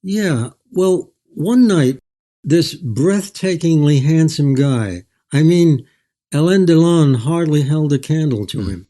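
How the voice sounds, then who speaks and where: An older man telling stories as an author in an interview, in a measured, anecdotal way. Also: a little high, lively intonation, warm tone. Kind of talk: storytelling in an interview.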